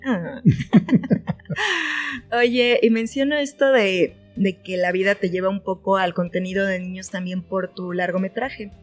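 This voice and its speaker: A woman's voice: medium pitch, steady tone, measured pace, soft background music. A woman interviewing a guest in a casual, conversational way. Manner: interjects often with short acknowledgements. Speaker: podcast interviewer.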